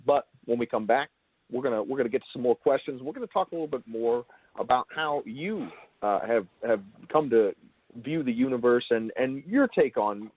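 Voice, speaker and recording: A man's voice: mid-range voice, husky, no room sound. A man hosting a show, talking conversationally as he interviews a guest. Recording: dull phone-like call audio.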